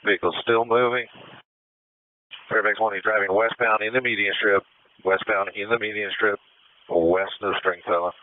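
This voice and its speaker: A man's voice: fairly low pitch, steady tone, normal speed, no room sound, narrow, tinny audio. A man on a police helicopter crew, calling out positions over the radio and repeating each location twice. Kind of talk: radio traffic callouts.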